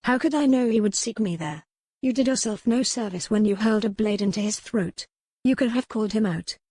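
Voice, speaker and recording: A woman's voice: mid-range voice, brisk, a little mellow, breathy edge, no echo, clean studio sound, close to an everyday voice. A woman voicing a proud, resolute young noblewoman in a romance audiobook, impassioned, with formal old-fashioned phrasing. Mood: fierce. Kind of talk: dramatized romance audiobook dialogue.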